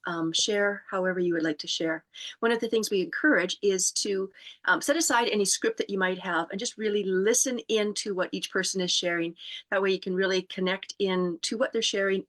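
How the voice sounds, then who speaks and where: A woman co-hosting an online circle who explains the format calmly and without hurry, with many ums. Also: medium pitch. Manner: calm, unhurried, many ums. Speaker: co-host. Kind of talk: guiding group instructions.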